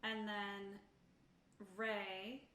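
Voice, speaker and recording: A woman of medium pitch chats casually as she builds a tabletop game character. She sounds set back from the mic in an echoey room, with some noise around her. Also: flat delivery, extremely slow, bright, forceful tone. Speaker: player in a tabletop roleplaying game. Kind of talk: casual table talk while creating a character. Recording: roomy echo, distant mic, noisy background.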